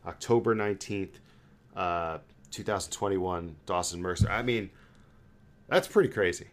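A man with a low-pitched voice hosts a casual sports podcast. He talks in a loose, conversational way, feeling his way through sentences with frequent halts. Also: animated, moderate pace. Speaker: podcast host.

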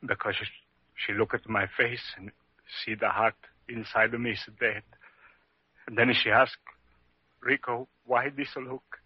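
Humble Italian immigrant husband, heartbroken, halting broken English, husky voice, radio drama, muffled dry vintage recording. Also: male voice, medium pitch, steady tone, dry recording. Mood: grieving, heartbroken. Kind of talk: old-time radio drama acting.

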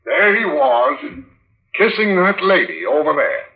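A bewildered, plain-spoken captain giving testimony in a vintage radio play. His gravelly voice moves up and down a lot. The recording is echoey and tinny. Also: sits high, wildly swooping pitch, a little slow, exaggerated voice. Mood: bewildered, blunt. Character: blunt, bewildered male captain testifying in court. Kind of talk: old-time radio drama acting.

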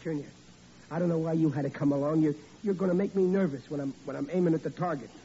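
A man's voice: a little high, fast, warm tone, slight background noise, close to an everyday voice. A man playing a stammering, blustering dad. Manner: stammering, bluffing comic bluster. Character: blustering bumbling father Riley. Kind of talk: 1940s radio acting. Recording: dull lo-fi old radio recording.

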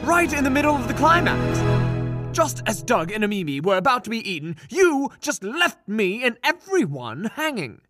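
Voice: medium pitch, sing-song, moderate pace, fairly bright tone, faint music behind. A performer playing a teasing, sceptical sidekick to a storyteller in comic fantasy banter.

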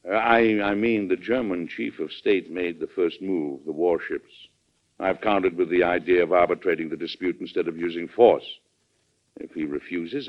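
Resolute low-voiced American president. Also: male voice. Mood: thoughtful, earnest. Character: resolute, blustery American president, firm and brisk. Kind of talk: old-time radio drama acting. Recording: dull old radio broadcast recording.

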